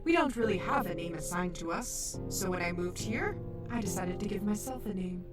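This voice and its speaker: Playful robot clinic receptionist, female actor, audio drama, chatty, somewhat distant with clear music behind. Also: medium pitch, lively intonation, normal speed, lightly acted. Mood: friendly, businesslike. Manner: chatty, teasing. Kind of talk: amateur audio drama acting.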